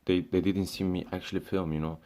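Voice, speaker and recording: A man's voice: low-pitched, flat delivery, fairly quick, warm tone. A young man from Syria speaking in a casual ranting monologue, restarting often, swearing and calling the listener "bro". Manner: frequent restarts and fillers, swears, says "bro". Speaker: vlogger.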